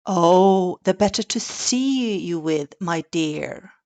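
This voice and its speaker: A hungry storybook wolf, as acted out by a woman, croaky and unhurried. He coaxes with false friendliness and a cackly edge, slyly drawing out his words. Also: on the low side, lively intonation, darkish tone. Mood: sly, menacing. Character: sly, hungry male wolf faking friendliness.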